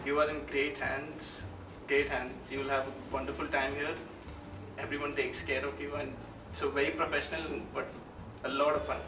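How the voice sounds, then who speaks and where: Young male student from Dubai, level monotone voice, music underneath. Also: mid-range voice, normal speed. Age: 20s. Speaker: student giving a testimonial. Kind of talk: interview testimonial. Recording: echoey, distant mic, music behind.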